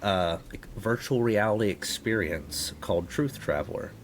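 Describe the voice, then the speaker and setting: Deep voiced guy co-hosting, just chatting casually, slight background rumble. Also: expressive, measured pace. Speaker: guest co-host. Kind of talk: casual chat.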